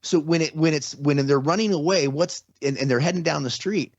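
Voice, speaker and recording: A man's voice: on the high side, brisk, no room sound. Rambling man in a dry, dull recording. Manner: rambling, restarts often. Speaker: podcast guest. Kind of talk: casual conversation. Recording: slightly dull, dry sound.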